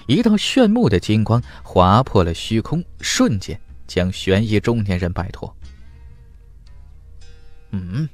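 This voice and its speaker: A man's voice: fairly low pitch, no room sound. A man reading dramatic scripted fantasy fiction as an audiobook narrator.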